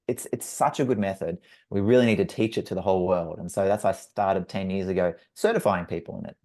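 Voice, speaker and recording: A man's voice: fairly low pitch, darkish tone. Upbeat Australian man on a video call. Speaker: facilitator and trainer. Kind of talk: webinar introduction.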